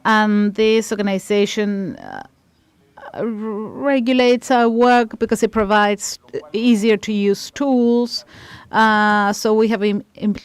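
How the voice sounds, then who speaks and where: Smooth-voiced woman interpreting a panel. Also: mid-range voice, sing-song, dry recording. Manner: halting, with frequent restarts while keeping up. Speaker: simultaneous interpreter. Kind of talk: live interpretation of a conference panel.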